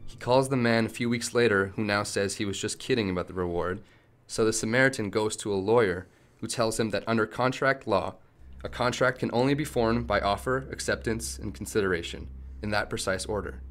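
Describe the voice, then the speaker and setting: A man acts out a tech executive held against his will in a radio play. His voice is fairly low, and he is defiant and principled. He argues in a firm, articulate way. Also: a little fast, a bit bright, lightly acted. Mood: measured, moralizing. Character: defiant, principled male tech company chief held captive.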